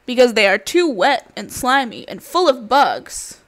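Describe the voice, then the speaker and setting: A big, fierce wolf from a picture book, acted out by a woman, sly and hungry. The words come slowly and emphatically, with lively swings in pitch and a knowing, matter-of-fact edge. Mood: blunt, gleeful. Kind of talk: animated children's storybook read-aloud.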